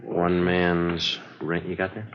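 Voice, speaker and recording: A deep-voiced police sergeant in an old radio crime drama. He is terse and deadpan, sticking to procedure. The sound is muffled and full of old-recording noise. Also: slow pace. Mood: businesslike, routine. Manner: matter-of-fact, deadpan. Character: terse, businesslike police detective sergeant, also narrating the case. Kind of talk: 1940s-50s radio acting, clipped procedural delivery.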